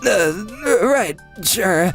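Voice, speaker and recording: A man's voice: high-pitched, animated, very slow, faint music behind, full character voice. A man voicing a flustered, cowardly, scheming office colleague who stammers and wheedles in a comic manga dub. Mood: nervous, wheedling.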